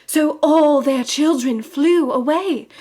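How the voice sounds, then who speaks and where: Audio drama girl, played by a woman, high voice, prim and tender, mothering and storytelling. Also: slow pace. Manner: storytelling, gently scolding.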